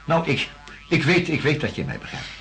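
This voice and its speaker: An actor playing a patient, cautious, kindly doctor, speaking in a measured, slightly hesitant way in a radio drama. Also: male voice, a little high, wildly swooping pitch, creaky, a bit bright, exaggerated voice. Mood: reassuring. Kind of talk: naturalistic radio drama dialogue.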